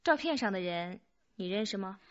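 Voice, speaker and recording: An actress playing a direct, sceptical police detective in a radio drama, with pointed, firm questioning. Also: female voice, mid-range voice, animated, moderate pace, lightly acted. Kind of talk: Chinese radio drama acting. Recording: muffled band-limited radio drama audio.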